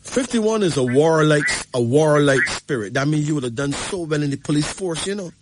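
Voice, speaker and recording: An emphatic man hosts a show and gives a numerology reading, his voice sitting a little high for a man. He presses his points and keeps checking in with the caller. Faint noise hums behind him. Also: expressive, fairly quick. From Barbados. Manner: emphatic, repeats "right?" to the caller. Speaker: radio host.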